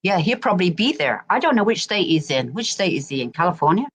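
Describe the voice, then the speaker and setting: Low-pitched chatty woman from wisconsin, repeats herself a lot. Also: big pitch swings, fast talker. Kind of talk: casual chat.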